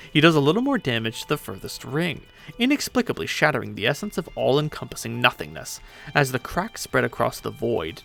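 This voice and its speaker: A man's voice: medium pitch, vocal fry, a bit bright, heavily acted. A man voicing a brash, sarcastic, boastful female pirate ghost in a dramatic story reading. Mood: intent explaining. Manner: impatient, sarcastic, swearing.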